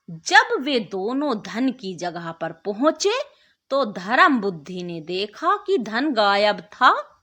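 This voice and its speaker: A woman narrating a scripted moral bedtime story as a channel host, with an expressive, deliberate storytelling delivery. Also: fairly high pitch.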